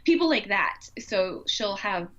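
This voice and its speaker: A woman's voice: mid-range voice, sing-song, a little slow, a bit bright, highs cut off, a little hiss or hum. A woman co-hosting a podcast, chatting casually and effusively in an informal way. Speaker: podcast co-host. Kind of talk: casual conversational podcast chat.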